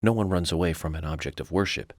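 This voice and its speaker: An actor playing a self-assured, coolly analytical male federal investigator in a video game, measured and matter-of-fact as he lectures. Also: low-pitched, no echo, studio quality. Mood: explanatory. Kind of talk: video game cutscene acting.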